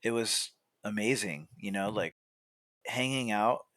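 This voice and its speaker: A young man, a podcast guest, telling a story in a relaxed way, often filling pauses with "you know" and "like". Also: fairly low pitch, no room sound. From California, USA. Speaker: podcast guest, coffee roaster. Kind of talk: casual storytelling.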